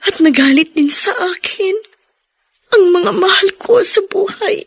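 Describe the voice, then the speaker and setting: A woman playing an anguished, tearful young unwed mother in a Filipino radio melodrama, pleading haltingly for her sick child. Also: very high-pitched, expressive, heavily acted. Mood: tearful, pained.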